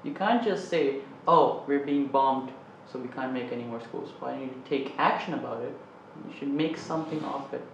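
A man's voice: mid-range voice, normal speed, creaky, few pauses, background noise. A man on a student panel making an earnest, argumentative case. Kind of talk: opinionated discussion. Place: studio with panel on a couch. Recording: echoey, slightly dull studio sound.

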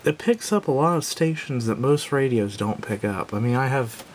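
A man giving a casual, unscripted product review in a halting, self-doubting way, recorded indoors at a tabletop on a camera microphone. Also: expressive. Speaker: gadget reviewer. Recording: clear background noise with low rumble.

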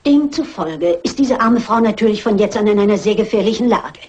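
A shrewd old lady sleuth in a radio mystery. Her voice is heavily husky and mid-pitched. She is courteous and wry, and never lets a point drop. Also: expressive, a little fast, a little mellow, dull audio. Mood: insistent. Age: older. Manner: polite but insistent, wry. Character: shrewd, persistent elderly lady amateur sleuth. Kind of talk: German radio crime play acting.

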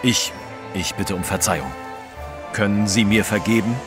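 An actor playing a courteous, competitive English reporter with a formal, proper manner, in a German audio drama. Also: male voice, lowish voice, normal speed, over music, natural voice. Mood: flustered. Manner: formal, polite, slightly proper.

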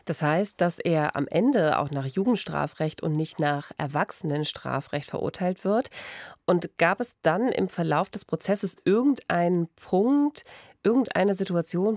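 A woman's voice: lowish voice, a little mellow, no echo. A woman presenting a true-crime podcast conversationally, close on a studio microphone. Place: small radio studio. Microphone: close studio microphone, headphones.

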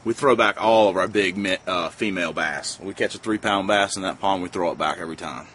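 Low-voiced man on an outdoor deck. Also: a little fast, a bit bright. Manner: easygoing, folksy, plain-spoken. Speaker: fishing vlogger. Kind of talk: casual how-to vlog. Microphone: camera a few feet away. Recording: faint outdoor noise.

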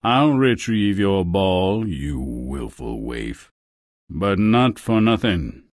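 A man's voice: deep voice, big pitch swings, slow, no echo, studio quality, heavily acted. A man voicing a suave, wheedling, theatrical frog who is secretly a king, in a fairy-tale video game. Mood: sardonic. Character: smug, courtly male frog, secretly a king.

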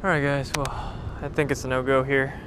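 A man's voice: mid-range voice, little pitch movement, fairly quick. Young man vlogging through heavy wind noise. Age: 20s. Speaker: fishing vlogger. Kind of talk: casual vlog chat and commentary. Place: outdoors by the water at a pier and shore. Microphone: action camera or handheld camera. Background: loud wind, outdoor ambience.

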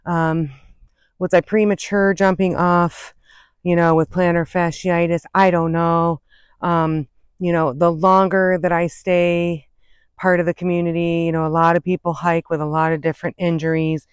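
A woman's voice: on the low side, level tone, normal speed, smooth. A woman of about fifty-four talks casually to a camera held at arm's length. She gives advice as a hiking vlogger in a conversational way, drifting into small asides. The sound is dry and open, recorded outdoors with no echo. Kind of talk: casual chat to camera, giving tips. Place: outdoors on an open woodland and prairie trail. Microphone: phone or camera at arm's length.

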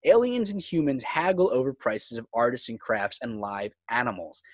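A man's voice: medium pitch, expressive, normal speed, dry recording. A man reading screenplay stage directions as the narrator of a script table read.

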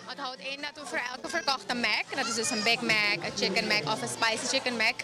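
A woman's voice: on the high side, fairly even intonation, a little fast. A young woman from Suriname, a PR officer, explaining things chattily with fillers into a handheld mic in a busy restaurant. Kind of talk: on-site interview. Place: busy fast-food restaurant, indoors. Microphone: handheld reporter mic. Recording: noisy crowd and music behind. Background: crowd chatter and music.

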